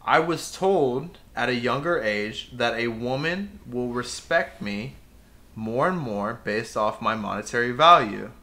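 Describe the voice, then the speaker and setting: A man's voice: mid-range voice, lively intonation, measured pace, pushed and bright. A young man co-hosting a casual podcast, ranting with passion and bursting into emphatic outbursts. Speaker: podcast co-host.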